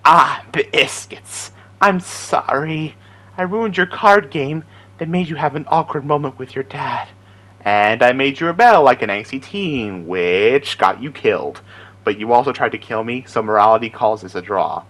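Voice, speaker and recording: A man voicing an earnest, awkward young robot with lively pitch. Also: measured pace. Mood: apologetic.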